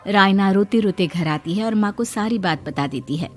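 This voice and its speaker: A woman storyteller delivering scripted narration for an animated moral story. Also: medium pitch, moderate pace, faint music behind.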